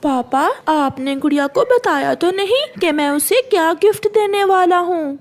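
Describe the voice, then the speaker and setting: A woman's voice: extremely high pitch, animated, moderate pace. A woman voicing an innocent young boy bird in a cartoon, a devoted brother who pleads plaintively. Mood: eager.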